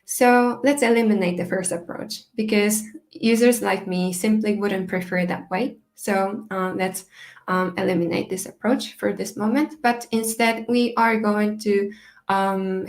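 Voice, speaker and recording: A woman's voice: medium pitch, darkish tone. A woman whose first language is Japanese, giving a technical conference talk in a hesitant way with many fillers and restarts. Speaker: conference speaker.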